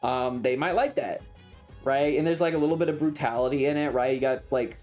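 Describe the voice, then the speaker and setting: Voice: medium pitch, fairly quick, a little mellow, faint music behind. A streamer chatting casually and enthusiastically in a rambling, colloquial way, like a fan talking to friends. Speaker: streamer and content creator.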